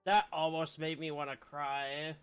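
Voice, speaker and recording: A man's voice: on the high side, little pitch movement, unhurried, smooth, faint music behind. A man giving casual live commentary as he streams a video game.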